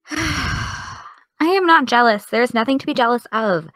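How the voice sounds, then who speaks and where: A woman's voice: fairly high pitch, expressive, a little slow, fairly bright tone, no room sound. A young actress playing an exasperated, defensive young woman with dry comebacks in an audio drama. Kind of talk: audio drama dialogue of a video call.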